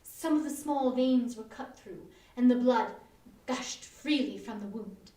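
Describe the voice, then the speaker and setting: A woman giving an expressive, dramatic reading of a Victorian short story. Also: a little high, unhurried. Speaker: volunteer or staff reader. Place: echoey room, live online performance. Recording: echoey room, distant microphone, online stream.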